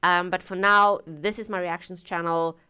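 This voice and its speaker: A woman's voice: fairly low pitch, fairly even intonation, normal speed, heavy background noise. A woman hosting a music reaction channel, chatting casually to viewers from her home studio through a gaming headset, rambling with lots of fillers and asides and laughing at herself.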